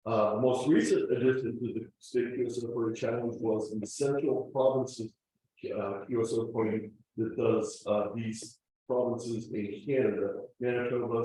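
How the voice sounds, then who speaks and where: A man's voice: mid-range voice, warm tone. A man giving a slide presentation at a club meeting, explaining step by step with frequent fillers. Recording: distant, roomy meeting-room recording.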